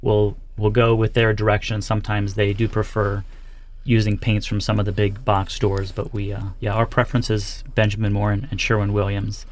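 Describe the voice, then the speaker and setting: Male radio guest, low flat delivery, plain-spoken, a little hesitant. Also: normal speed. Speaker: guest, master painter. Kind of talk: radio interview. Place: radio studio.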